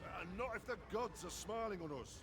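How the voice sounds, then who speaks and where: A Scottish working man in a video game. The voice is notably high for a man, with a sardonic, blunt, slangy delivery. He sounds harried, and clear machinery-like ambient noise runs behind him. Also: animated, moderate pace. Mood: wry, harried.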